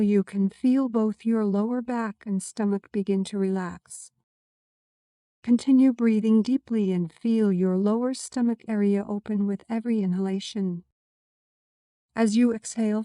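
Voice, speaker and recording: Woman, meditation narrator, dark relaxed tone, scripted, soothing measured delivery, dry close sound. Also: mid-range voice, no room sound.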